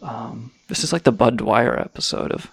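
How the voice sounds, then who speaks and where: A man's voice: mid-range voice, animated, normal speed, creaky, husky, no room sound. A man hosting a crude comedy radio show, sarcastic and rambling, swearing freely. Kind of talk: irreverent comedic banter.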